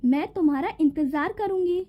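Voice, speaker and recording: A woman's voice: very high-pitched, moderate pace, muffled recording. A woman voicing a jealous, scheming fairy in a cartoon, possessive and calculating. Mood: falsely sweet. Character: jealous scheming female fairy who owns a garden.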